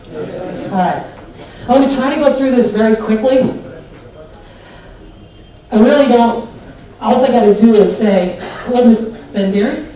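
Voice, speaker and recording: A middle-aged American woman giving a lively, joking conference talk in a large hotel hall. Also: medium pitch. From United States. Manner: big, animated, joking delivery. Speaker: conference speaker. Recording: echoey hall, PA from far off.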